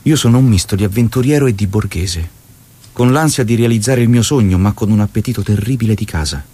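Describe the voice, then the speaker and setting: A man's voice: fairly low pitch, quick pace, dark tone, breathy edge. An actor playing a passionate, idealistic revolutionary, reading his letters and speeches in a theatrical way. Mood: wistful. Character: Che, passionate, idealistic revolutionary and faraway husband.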